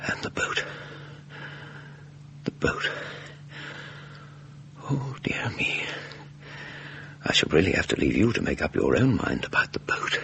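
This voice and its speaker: A man's voice: low-pitched, sing-song, unhurried, gravelly, pauses often, bright, forceful tone, in a whisper, heavily acted. A male actor playing a courteous, fussy English scholar in a radio drama, donnish and hesitant, with an English accent. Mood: musing, flustered. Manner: hesitant, donnish, fussily polite. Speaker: narrator and lead character. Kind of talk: radio drama acting and narration.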